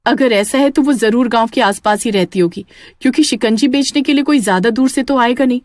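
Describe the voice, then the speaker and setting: A woman voicing a concerned village wife and mother, earnest and questioning, in an animated story. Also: a little high, fairly quick, dry recording, clean studio sound, close to an everyday voice. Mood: worried.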